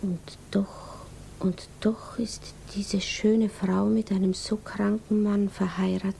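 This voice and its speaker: An actress playing an anxious, yearning young mother who teaches piano, often in hushed inward monologue, in a 1960s German radio drama. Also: medium pitch, level tone, takes it slowly, creaky, a little hiss or hum. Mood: shy, wistful.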